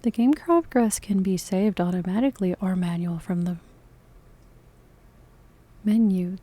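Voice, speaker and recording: Slow-paced, mellow woman playing a game. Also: medium pitch. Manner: halting, stumbling when reading. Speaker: gaming video host. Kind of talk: casual let's-play, reading game text aloud.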